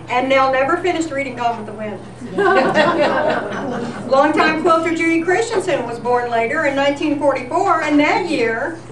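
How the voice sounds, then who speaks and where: A woman hosting a live show, reading scripted announcements in the style of an old-time radio newscast. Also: high-pitched. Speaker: host of a live radio-themed tribute show. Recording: distant echoey live event, noisy.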